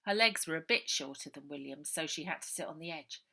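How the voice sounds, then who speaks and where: A woman author reading her own children's adventure novel aloud, lively, dropping to a whisper for suspense. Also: low-pitched, fairly quick, vocal fry. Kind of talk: storybook narration for children.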